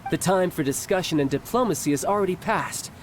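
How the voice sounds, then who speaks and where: A man's voice: sits high, fairly quick, slight background noise, natural voice. An actor playing a smug young male villain in a video game, theatrical, taunting and self-assured. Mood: smug, boastful.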